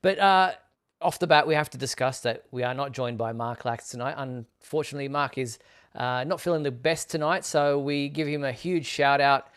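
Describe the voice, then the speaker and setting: A man's voice: medium pitch, moderate pace, fairly bright tone, clear, resonant tone. A man hosting a live online fan talk show, addressing viewers in a courteous, appreciative way.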